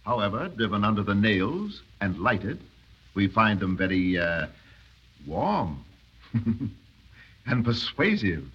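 Villain for vintage radio play: urbane, cruel khan, mock-courteous, husky, widely varied pitch. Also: male voice, very animated, takes it slowly, long pauses, heavily acted. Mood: silkily sinister. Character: suave, cruel Oxford-educated mountain khan, a villain. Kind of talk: 1930s radio drama acting. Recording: dull 1930s radio broadcast.

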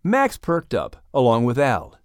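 An expressive woman reads a children's storybook in a close, dry recording. The delivery is animated, with a pitch that moves freely from phrase to phrase. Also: a little slow, dry recording. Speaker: audiobook narrator. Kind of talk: scripted children's storybook narration.

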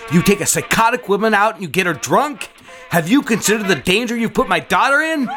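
A stern, controlling family man played by an actor in an audio drama, with a fairly high voice that swings widely in pitch. He is commanding and condescending, and there is music in the recording. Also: expressive, brisk, bright, forceful tone. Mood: angry, scolding. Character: controlling, stern, contemptuous adult family patriarch.

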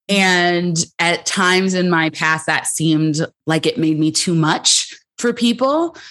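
A woman guest on a podcast interview, answering thoughtfully and calmly with the occasional laugh. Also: sits low. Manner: thoughtful, calm, laughs easily. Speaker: psychologist guest.

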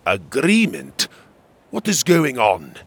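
A man's voice: medium pitch, wildly swooping pitch, slow, fairly bright tone, breathy edge, voice pushed up, heavily acted. A man voicing a stern exiled royal warrior in a fantasy story, with a grave, commanding manner. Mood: commanding, indignant.

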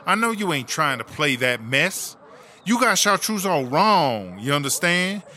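A man's voice: a little high, big pitch swings, unhurried, pushed and bright, heavily acted. A man playing a sassy, bossy female inmate, talking in emphatic slang in a comedic audio drama. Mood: indignant. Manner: slangy, emphatic, self-promoting.

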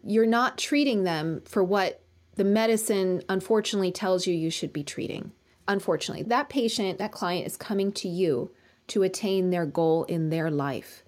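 A woman's voice: medium pitch, moderate pace, a little mellow. Earnest woman acupuncturist in conversation. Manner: earnest, talks in long run-on stretches. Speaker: acupuncturist, podcast guest. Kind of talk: casual professional conversation. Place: practices in Colorado.

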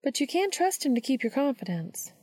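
A woman voicing a warm but firm woman mentor in a storybook reading, coaxing and insistent. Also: high voice, sing-song, fairly quick, dry recording, voice pushed up. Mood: gently coaxing.

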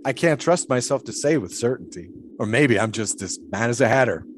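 Man playing oddball 1920s stuntman philosopher, pitch swings all over, teasing n cryptic, audio drama with ambience behind. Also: expressive, a little fast, a little hiss or hum, lightly acted. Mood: haunted, cryptic. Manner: cryptic, teasing, ornate phrasing. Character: eccentric, philosophical 1920s flagpole-sitting daredevil, serenely cryptic.

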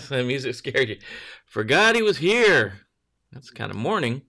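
A man's voice: mid-range voice, sing-song, unhurried, pushed and bright. A man hosting a casual morning livestream, greeting viewers by name and rambling loosely while correcting himself. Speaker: livestream host.

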